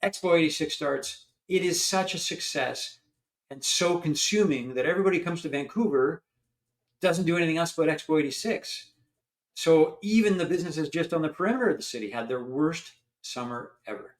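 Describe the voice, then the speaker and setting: An older man from Canada, a company founder telling stories in a chatty, animated way during an interview. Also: a little high, moderate pace. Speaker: founder and guest. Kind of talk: storytelling in an interview.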